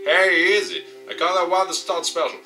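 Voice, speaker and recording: A man's voice: high-pitched, fairly even intonation, moderate pace, over music, pitched above the performer's own voice. A man playing a cocky, scornful young boy gamer in a visual novel, brash and taunting. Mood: smug.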